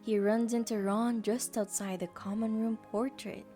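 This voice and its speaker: A woman's voice: fairly high pitch, flat delivery, moderate pace, warm tone, faint music behind. A woman reading scripted story narration as the narrator of an audio drama.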